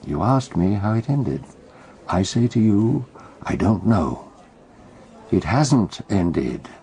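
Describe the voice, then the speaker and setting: A British amateur detective in a radio mystery, his voice very low and dark with a creaky edge. He is theatrical and teasing, spinning out his deductions with deliberate pauses. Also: very animated, heavily acted. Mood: coy, evasive. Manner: theatrical, measured storytelling with pointed pauses. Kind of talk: BBC radio detective drama acting.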